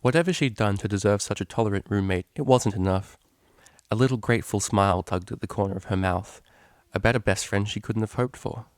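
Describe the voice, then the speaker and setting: A man's voice: on the low side, brisk, dry recording, clean studio sound. A man narrating a story as a reader, in scripted storybook style. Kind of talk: narrated fan fiction reading.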